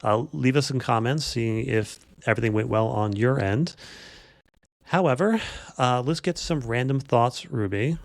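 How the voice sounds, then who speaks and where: A man's voice: mid-range voice, moderate pace, creaky, no echo. A man hosting a podcast, chatting casually in an informal, rambling storytelling way. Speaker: podcast host. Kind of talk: casual unscripted podcast chat.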